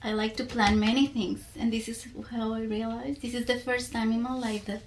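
A woman's voice: a little high, level tone, moderate pace, slight background noise. A woman from Colombia with a Colombian accent and Spanish as her first language, speaking reflectively in an interview and searching for words. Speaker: podcast guest.

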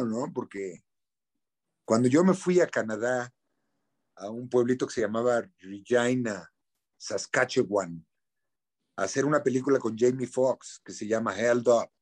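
A middle-aged man with a Mexican accent talks frankly in an interview. His voice sits at an ordinary middle pitch. He drops in slang and loops on repeated words while he hunts for the right phrase. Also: a little mellow. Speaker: actor, interview guest. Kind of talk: casual interview.

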